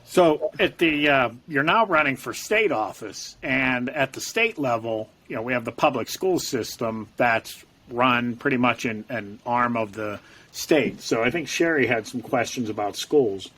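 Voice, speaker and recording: A man's voice: medium pitch, a little slow, bright, forceful tone. A man hosting an interview, conversationally setting up the next topic. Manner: conversational, hands off to co-host. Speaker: interview host. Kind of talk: introducing topics.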